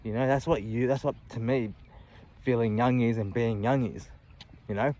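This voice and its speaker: A man in his late thirties talking candidly about his life in a reflective, halting way, often restarting and adding "you know". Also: mid-range voice, fairly even intonation, mellow. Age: 30s. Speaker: vlogger. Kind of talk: personal reflective monologue.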